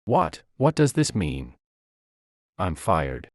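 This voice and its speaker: A man's voice: sits low, wildly swooping pitch, slow pace, no room sound, clean studio sound, full character voice. A man voicing a blustering, indignant fired company director in an audio story, with stammering protests. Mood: indignant shock.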